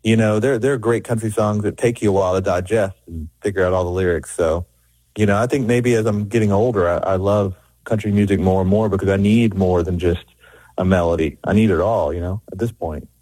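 A man's voice: low-pitched, fairly even intonation, brisk, warm tone, breathy edge, no echo, rough recording. A middle-aged man, a record producer, talking in an interview with many restarts and fillers. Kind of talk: conversational interview.

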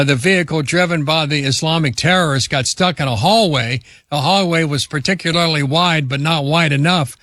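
Bright-voiced male talk radio host. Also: a little high, no room sound, studio quality.

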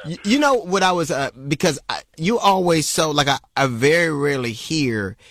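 A man's voice: a little high, expressive, normal speed, fairly bright tone, dry recording, studio quality. A man hosting a radio talk show, rambling and laughing often as he chats with guests.

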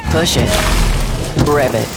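Low-voiced woman in a punchy car ad. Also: takes it slowly. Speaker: ad announcer. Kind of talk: punchy car ad with short commands. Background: loud music.

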